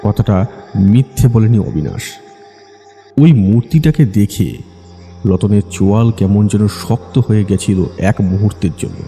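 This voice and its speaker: A man's voice: fairly low pitch, animated, normal speed. A man narrating a scripted ghost story for an audiobook, with long reverb on his voice. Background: soft music.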